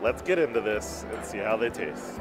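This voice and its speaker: A man's voice: lowish voice, expressive, moderate pace, over music. A man in his thirties reviewing a restaurant meal, gushing about each dish, recorded on a lapel mic at a busy indoor restaurant. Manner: enthusiastic, gushing about food. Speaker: food vlogger.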